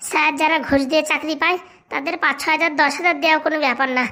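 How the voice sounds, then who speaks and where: A woman's voice: sits high, fairly even intonation, rapid-fire, pushed and bright. A woman voicing a cheeky, poor young man in a comic cartoon, glib, colloquial and stubbornly argumentative. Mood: stubborn, indignant.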